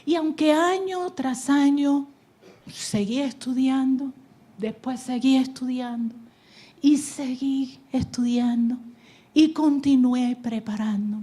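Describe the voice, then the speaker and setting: A woman from New Jersey giving a preaching testimony at a conference, moving from loud exhortation to hushed whispers. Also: high-pitched, slow pace, slightly rough. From United States (New Jersey). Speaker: conference speaker. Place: conference hall stage.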